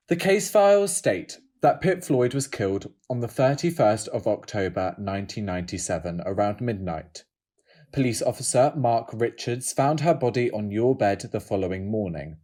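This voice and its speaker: A man acting as a stern judge in an audio drama, reading charges in a formal, measured, official manner. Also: lowish voice, a little mellow.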